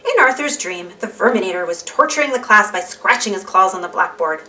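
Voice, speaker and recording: A woman reading a children's storybook aloud in an animated narrating style. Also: mid-range voice, a little fast, recorded from a distance. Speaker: storybook reader.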